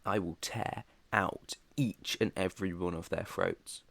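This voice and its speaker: A man's voice: low voice, a little slow, gravelly, fairly bright tone, no room sound, exaggerated voice. A British man playing an ancient vampire master in an ASMR roleplay, proud, protective and tender, with an earnest, slightly formal way of talking. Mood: indignant anger. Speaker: roleplay voice performer.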